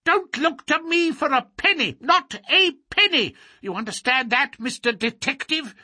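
A man voicing a querulous, miserly little old lord with a sharp crackle and a quick, intense manner. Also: very high voice, animated, no room sound, clean studio sound, voice pushed up, exaggerated voice. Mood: indignant, shrill.

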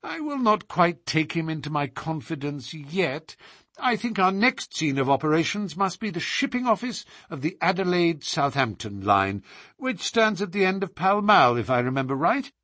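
A man voicing a courteous, assured gentleman detective with a measured, persuasive manner in an audiobook story. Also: fairly high pitch, big pitch swings, no room sound, studio quality, voice pushed up. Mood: brisk.

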